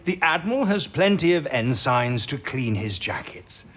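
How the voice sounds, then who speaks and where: An actor playing a jovial, modest older British codebreaking boss in a period audio drama, chuckling and hesitant in an avuncular way. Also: male voice, medium pitch, big pitch swings, moderate pace, a bit bright. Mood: amused, teasing. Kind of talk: period radio drama acting.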